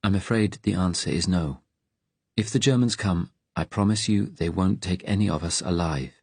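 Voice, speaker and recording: A man narrating an audiobook, voicing a calm, direct resistance woman with a serious, measured manner. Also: sits low, creaky, breathy edge, no room sound, exaggerated voice. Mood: grave. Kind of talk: audiobook character dialogue.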